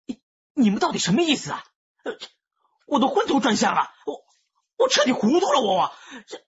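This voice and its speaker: Stammering, husky young loafer. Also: male voice, very high voice, huge pitch swings, a little fast, muffled recording, full character voice. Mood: bewildered, insistent. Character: hot-headed young male loafer, desperate and guilt-ridden. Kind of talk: Chinese crime radio drama acting.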